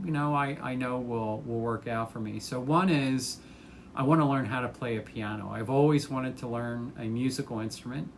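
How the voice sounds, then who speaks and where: A retired man in his sixties, a former chemist, talking casually to the camera about his plans, thoughtful and unhurried, with many ums and restarts. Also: mid-range voice, a little hiss or hum. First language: English.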